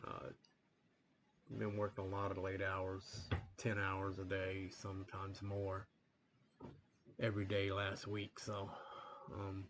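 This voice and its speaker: A man hosting a train-game playthrough in a rambling, relaxed way, full of fillers, a little sniffly from a coming cold. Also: sits low, slow pace, pauses often, a little hiss or hum. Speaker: gaming channel host. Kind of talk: casual gameplay commentary.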